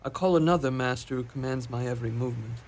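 An actor playing an enigmatic spirit servant in a radio drama, with measured, archaic diction. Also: male voice, mid-range voice, a little mellow, lightly acted. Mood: cool, unmoved. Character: airy male sea-island spirit, enigmatic servant of a magician. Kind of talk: mock-Shakespearean radio drama acting.

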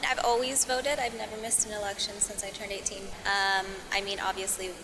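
A woman's voice: mid-range voice, little pitch movement, fairly quick. A woman from California speaking casually as an interviewed voter. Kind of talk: casual vox pop. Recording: noisy, very reverberant location recording.

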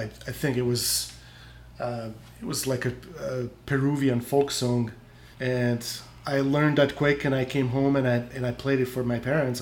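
A man from Croatia, an English speaker, a guitarist telling stories in an interview with many fillers and a voice that trails off softly. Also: mid-range voice. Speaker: guest guitarist. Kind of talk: storytelling interview answers.

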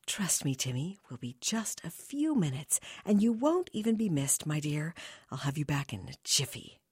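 Sly, wealthy older temptress, played by a woman reader: soft, breathy, low-pitched, coaxing and soothing. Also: sing-song, no echo, clean studio sound, heavily acted. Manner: cooing, slow, soothing.

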